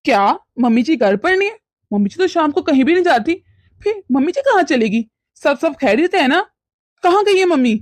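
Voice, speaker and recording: एक औरत घरेलू मेलोड्रामा कहानी में माँ के भक्त, नरम और उलझन में पड़े जवान पति का किरदार निभा रही है, जो घबराकर शब्द दोहराता है।. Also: female voice, sits high, sing-song, a little fast, dry recording. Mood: concerned. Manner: flustered, repeats words in questions. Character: young husband, devoted son, bewildered and mild. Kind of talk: melodramatic domestic story acting.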